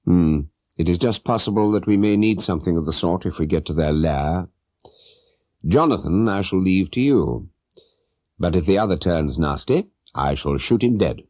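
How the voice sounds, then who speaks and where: A man voicing a shrewd, courteous British gentleman detective in an audiobook, with a clipped, probing manner. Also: sits low, lively intonation, normal speed, dry recording, studio quality. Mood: cool, decisive. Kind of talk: audiobook character voice.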